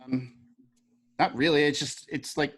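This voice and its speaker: A man's voice: fairly high pitch, steady tone, unhurried, fairly bright tone. A plain-spoken man explaining his craft casually and encouragingly on a group call. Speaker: puppet builder with an art background. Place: remote virtual call.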